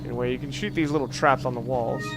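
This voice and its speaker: A man hosting a let's play, commentating casually on a video game in a rambling, halting way with dry joking asides. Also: mid-range voice, fairly even intonation. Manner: rambling, halting self-corrections. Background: video game music.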